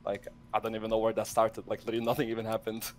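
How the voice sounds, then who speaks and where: Young man, guest streamer, laid-back rambling talk, brisk pace, video call audio. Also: on the low side, monotone, slight background noise. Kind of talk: casual group video call.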